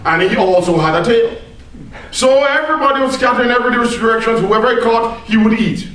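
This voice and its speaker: Echoey, theatrical high-voiced woman storyteller. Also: fairly quick, a bit bright. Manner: theatrical, dramatic emphasis, mock-earnest. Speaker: storyteller at a speech club. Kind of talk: live comic tall-tale storytelling to an audience. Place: echoey indoor room, speaking to an audience. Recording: very echoey room, distant microphone.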